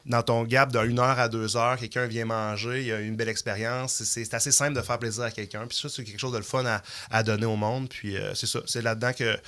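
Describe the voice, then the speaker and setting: Bright, clear-voiced Québécois man in his thirties. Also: medium pitch, brisk, few pauses. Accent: Québécois French. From Canada. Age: 30s. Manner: relaxed, rambling with many fillers. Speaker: podcast guest. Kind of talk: casual interview talk. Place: podcast studio.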